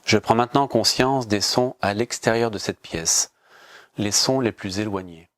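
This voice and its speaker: A man leading a guided yoga relaxation as a teacher, giving calm, measured, repetitive instructions. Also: fairly low pitch, no echo, clean studio sound.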